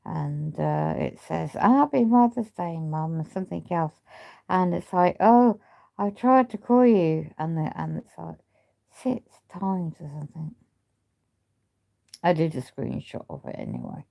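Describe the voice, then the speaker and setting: A woman chatting casually on a livestream, talking in a halting, rambling way while she loses her voice. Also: low-pitched, very animated, warm tone. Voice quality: gravelly. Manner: rambling, halting speech with many restarts. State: losing her voice. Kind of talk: casual livestream chat with viewers.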